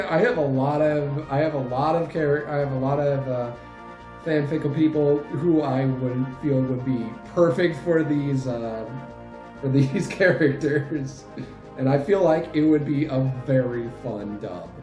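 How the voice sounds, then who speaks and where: Slow, halting young man in echoey room. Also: medium pitch, a little mellow. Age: late 20s. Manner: halting, rambling, frequent chuckles mid-sentence. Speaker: channel host and fan dub group leader. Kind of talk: casual channel update. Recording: roomy echo, faint music behind.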